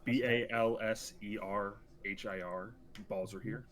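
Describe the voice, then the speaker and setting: A man with a lowish voice chats as a player in a tabletop game. He is talkative and self-deprecating, heard over a voice call mic. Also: steady tone, measured pace, a bit bright. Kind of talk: casual tabletop game session.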